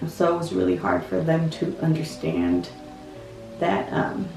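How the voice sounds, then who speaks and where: A woman in her thirties softly and reflectively telling a personal story. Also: low voice, big pitch swings, a little slow, vocal fry. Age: 30s. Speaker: interviewee. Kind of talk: personal testimony. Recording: distant, echoey with faint music.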